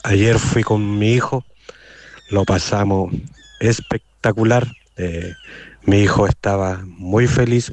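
A man leaving a recorded thank-you message for a radio host, halting and full of fillers. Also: fairly low pitch, darkish tone, no echo. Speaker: listener sending a voice message.

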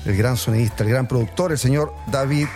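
A man's voice: mid-range voice, fairly quick, over music. A man with a Chilean accent hosting a radio interview show, speaking in a friendly, presenting style. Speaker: radio talk show host. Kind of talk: formal radio introduction, then friendly interview. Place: hotel venue, voice on radio broadcast.

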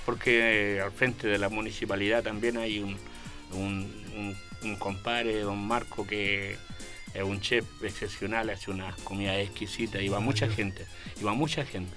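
Older Chilean man, halting and rambling. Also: fairly low pitch, fairly even intonation, over music. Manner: rambling, halting, with many restarts. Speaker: guest, local amateur actor. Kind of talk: casual interview chat.

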